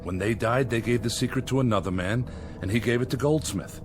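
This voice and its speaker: An actor playing an earnest, desperate villager, speaking with plain, urgent intensity in 1960s television drama style. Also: male voice, mid-range voice, a little fast, lightly acted. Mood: explaining. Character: earnest, desperate hungry villager rallying his people. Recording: old television soundtrack with loud music.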